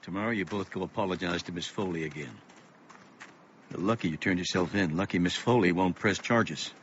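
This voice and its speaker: An actor playing a weary, gentle middle-aged father with a measured, melancholy, reflective way of talking in a radio drama. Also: deep voice, natural voice. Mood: grave. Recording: muffled, noisy radio play recording.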